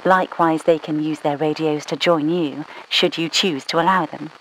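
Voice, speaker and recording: A woman voicing a brisk British spymaster who gives mission orders over the radio in a dry, commanding manner, with a British accent. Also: sits low, steady tone, slight background noise, low-quality recording. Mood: briefing. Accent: British English. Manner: clipped, dry, commanding, scolding. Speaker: mission controller. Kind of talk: game voice lines.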